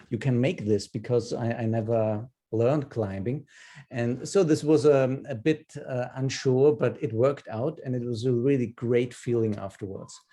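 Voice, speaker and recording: A man in his fifties with an Austrian accent, a native German speaker, telling personal stories haltingly in an online group session. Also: medium pitch, mellow. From Upper Austria. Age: 50s. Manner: hesitant, halting delivery with repeated words. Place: video call.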